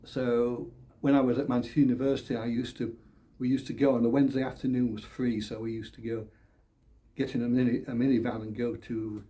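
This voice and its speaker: Older man, hesitant and halting. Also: medium pitch, level tone, mellow. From England, born Leeds, raised Oldham. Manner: hesitant, many repeated words and restarts, with small laughs. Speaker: election candidate. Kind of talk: interview answers.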